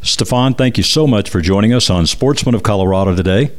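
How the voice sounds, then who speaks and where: A man with an American accent hosting a radio show, talking conversationally as he interviews and promotes. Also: fairly low pitch. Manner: repeats phone numbers slowly and deliberately.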